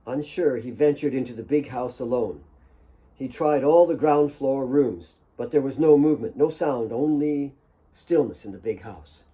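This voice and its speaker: Mellow male audiobook narrator, deliberate phrasing. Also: medium pitch, highs cut off. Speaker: author reading own novel.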